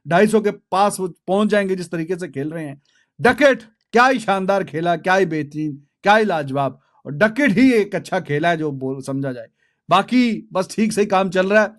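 A Pakistani man in his thirties giving casual, colloquial cricket commentary to camera, dropping in English phrases, close to a desk microphone. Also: high-pitched. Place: small indoor home studio. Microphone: desk microphone close in front.

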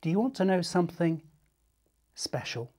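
Animated middle-aged man reading to children. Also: on the high side. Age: 50s. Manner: animated, dramatic, deliberate, addressing young listeners. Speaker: children's author reading aloud. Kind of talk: expressive children's storytelling.

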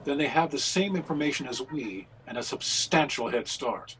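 A man's voice: mid-range voice, expressive, normal speed, fairly bright tone, lightly acted, low-quality recording. A man playing a formal, articulate, insistent reverend detective in a period radio mystery. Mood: urgent, commanding. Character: urgent, commanding reverend amateur detective in 1900s mystery. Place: indoor home video call. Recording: faint noise on video call.

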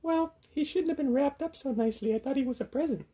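A man voicing a silly, greedy, self-important furry puppet with a naive, boastful, excitable manner. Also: very high-pitched, quick pace, voice pushed up. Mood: gleeful. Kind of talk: puppet show banter.

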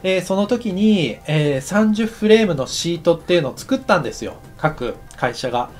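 Middle-aged guy walking through a lesson, voice bouncing up and down, bit of quiet music under it. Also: high-pitched, moderate pace. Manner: relaxed and chatty, many fillers, lively rising and falling delivery. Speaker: anime director teaching a course. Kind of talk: explanatory screen-share lecture.

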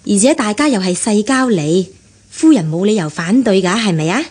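An actress playing a kindly, chatty, meddling senior housekeeper with a coaxing manner in a radio drama. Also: female voice, medium pitch, animated, moderate pace, a little mellow, slightly rough. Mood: teasing, coaxing. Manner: coaxing, cajoling. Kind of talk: Cantonese radio drama acting.

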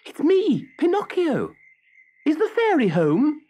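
A man's voice: extremely high pitch, wildly swooping pitch, slow pace, mellow, highs cut off, voice pushed up, exaggerated voice. A man voicing an anxious young boy puppet in a storybook, fretful and pleading.

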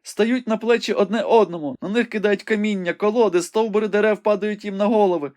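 A man's voice: sits high, steady tone, brisk, a little mellow, voice pushed up, close to an everyday voice. A man voicing a young woman who watches a battle from a window and cries out what she sees. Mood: urgent, fervent. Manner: breathless, exclamatory reporting. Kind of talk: audiobook reading.

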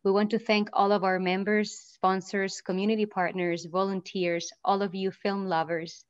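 A woman in her thirties moderating an interview on a video call, speaking in a formal, measured way. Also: mid-range voice, level tone, clear, resonant tone, no room sound. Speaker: moderator, researcher. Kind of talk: scripted welcome then interview questions. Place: room at home. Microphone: laptop mic on a video call. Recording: dull, dry laptop audio.

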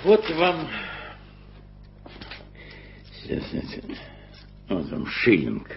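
A fussy, flustered gentleman whose voice swings wildly up and down. He grumbles and fusses, heard on a dull, muffled radio recording. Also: a little high, huge pitch swings, drawn-out words, creaky, soft background music, exaggerated voice. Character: Peter Hope, flustered old London editor. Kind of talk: 1980s studio radio play acting.

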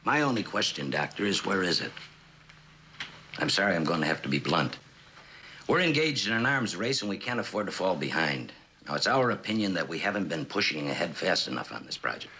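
Stern senior official, low voice, lively intonation, formal, demanding, vintage TV drama, slightly noisy soundtrack. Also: male voice, brisk, heavily acted. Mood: blunt, insistent. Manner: formal, firm, clipped authority. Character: blunt, impatient male senior official, courteous but demanding. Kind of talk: mid-century television drama acting. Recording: old TV soundtrack with background noise.

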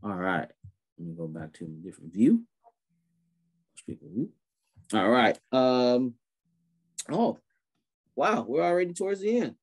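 A man's voice: medium pitch, animated, unhurried, pauses often, slightly rough. A man in his thirties hosting an online prayer event over a video call, talking in an easygoing way with many fillers and restarts. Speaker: event host.

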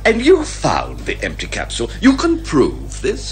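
A British actor playing a fussy, cautious old chum, a reluctant sidekick in a radio detective comedy, hesitant and old-fashioned in manner. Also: male voice, mid-range voice, huge pitch swings, normal speed, faint music behind. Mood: puzzled.

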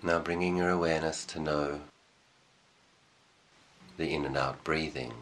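A man leading a guided meditation as a teacher, speaking calmly and in measured short phrases with long pauses. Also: rumbling low.

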